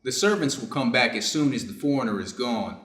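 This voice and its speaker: A man's voice: medium pitch, expressive, brisk, a bit bright, lightly acted. A man playing a reserved, conflicted Japanese doctor in a staged reader's theater drama, formal and guarded in his phrasing. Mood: resolved. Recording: roomy, echoing space.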